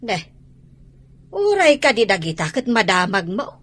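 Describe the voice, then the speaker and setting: A woman's voice: medium pitch, sing-song, measured pace, fairly bright tone. An actress with an Ilocano accent playing a busy, dryly scolding village woman in a radio comedy drama. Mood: dryly teasing.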